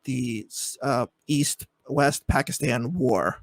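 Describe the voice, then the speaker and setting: Halting, argumentative man on a dry call. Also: mid-range voice, vocal fry, no room sound. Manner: hesitant, many fillers, builds into pointed argument. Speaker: debate guest. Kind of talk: online debate.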